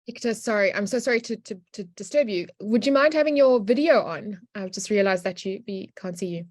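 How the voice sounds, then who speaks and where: A woman moderates a video call. Her voice sits in a middle pitch, and she speaks politely and apologetically. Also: a little fast, a little mellow.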